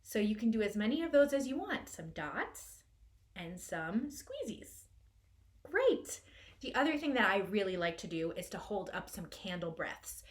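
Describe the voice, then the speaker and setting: A woman's voice: medium pitch, sing-song, a little slow. A woman hosting a storytime for young children, explaining things simply and guiding them as she goes. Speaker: library storytime host and reader. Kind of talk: children's storytime read-aloud.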